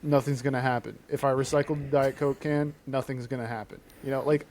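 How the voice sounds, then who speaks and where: A man's voice: mid-range voice, fairly even intonation, brisk, darkish tone, a little hiss or hum. A man co-hosting a podcast in an informal, conversational way. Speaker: podcast co-host. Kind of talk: casual chat and reading posts aloud.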